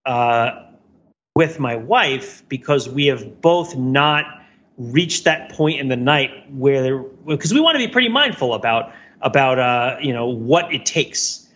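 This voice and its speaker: A man's voice: medium pitch, animated, measured pace, a bit bright, dull audio. A man talking casually as a podcast co-host in a rambling, self-deprecating, wry way. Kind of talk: casual conversational podcast chat.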